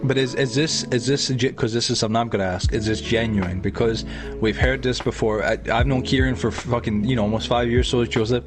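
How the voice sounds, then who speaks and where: A man's voice: mid-range voice, steady tone, fairly quick, over music. A Scottish man from Edinburgh hosting a casual podcast chat over an online call, rambling with lots of restarts and fillers. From Scotland. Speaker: podcast host.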